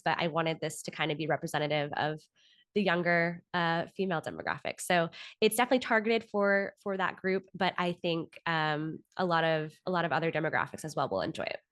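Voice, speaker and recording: A woman in her thirties with an American accent speaks in a lowish register. She answers interview questions conversationally, and the sound is dry, with very little room in it. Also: a bit bright, dry recording. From Florida, United States. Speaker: podcast guest, author and actor.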